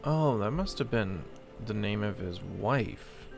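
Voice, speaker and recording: Guy doing a casual lets play, thinking out loud, creaky voice, game music behind him. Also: fairly low pitch, expressive, slow. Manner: hesitant, thinking aloud. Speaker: game streamer. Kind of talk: casual let's play commentary.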